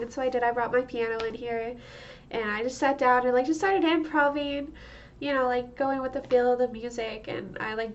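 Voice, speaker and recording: A woman's voice: a little high, distant mic, muffled recording, slight background noise. A young woman with a stuffed-up nose, chatting in a rambling vlog with lots of fillers and laughs. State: stuffed up, congested. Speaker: vlogger. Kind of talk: casual monthly check-in vlog. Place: home room.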